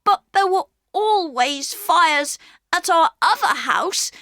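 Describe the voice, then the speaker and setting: A proud, excitable young boy with a high, earnest voice, as a woman reader puts him on. He speaks slowly, with plenty of rise and fall, and the sound is close and dry. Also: dry recording, heavily acted. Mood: pleading.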